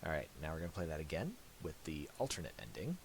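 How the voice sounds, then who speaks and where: A man narrating a casual software tutorial, thinking aloud in a halting way. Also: extremely low pitch, huge pitch swings, gravelly. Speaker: tutorial presenter. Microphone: voice-over for a screen recording. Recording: hissy amateur voice-over.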